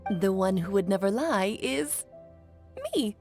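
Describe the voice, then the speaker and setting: A woman voicing a playful, wise, centuries-old mage in a video game, telling her tales theatrically with emphatic pauses. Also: mid-range voice, lively intonation, a little mellow, faint music behind. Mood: fond, playful.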